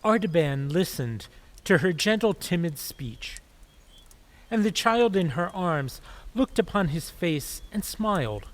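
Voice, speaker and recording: A man narrating a scripted story in a measured way, with careful pauses between phrases. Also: high voice, animated.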